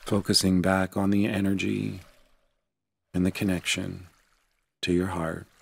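A man narrating a guided meditation in a gentle, unhurried, soothing manner. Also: low voice, little pitch movement, creaky, breathy edge.

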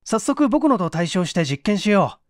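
A man's voice: high voice, big pitch swings, moderate pace, no echo, voice pushed up. A male narrator plays a blunt male engineer in an audiobook story. He is serious and brusque, with varied intonation in a dry, close sound.

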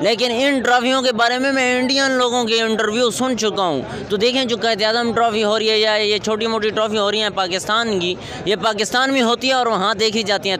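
A man's voice: high-pitched, bright, forceful tone. A Pakistani man in his twenties explaining his opinion casually in a street interview, repeating points for emphasis. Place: outdoors at night by parked cars and traffic. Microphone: interviewer's handheld microphone. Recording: busy outdoor street noise.

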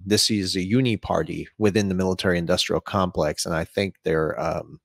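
A man in his thirties speaks in a low voice as he hosts an interview. He lays out long, opinionated questions over a video call. The sound is dry, with very little room in it. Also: steady tone, normal speed, creaky, fairly bright tone, dry recording. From Saudi Arabia. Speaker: interviewer and host. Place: small home room, indoors.

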